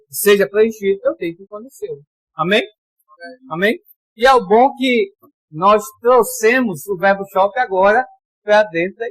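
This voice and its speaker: A man from Pará, Brazil, preaching a sermon in a conversational way, calling for amens and reading scripture slowly with emphasis. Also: high voice, breathy edge, no room sound, rough recording. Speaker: pastor.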